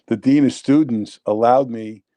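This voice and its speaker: A man in his sixties with a thick New York accent spins long, winding stories as a guest on a casual chat show. His voice is notably dark and mellow, never bright, and he sits at an ordinary middle pitch. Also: moderate pace, highs cut off. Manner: rambling, animated storyteller. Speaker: interview guest. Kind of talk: casual storytelling.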